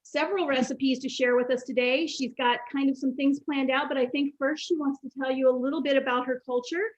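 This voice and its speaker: A high-voiced woman hosts a cooking segment and hands over to her guest in a relaxed, loose way. The sound is dull and lo-fi. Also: a little fast. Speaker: host. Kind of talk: casual show introduction.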